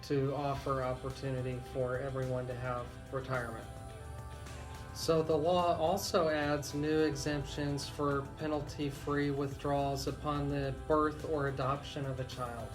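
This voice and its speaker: A man speaking as a legal expert on a radio talk show, explaining things deliberately with frequent pauses. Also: on the high side.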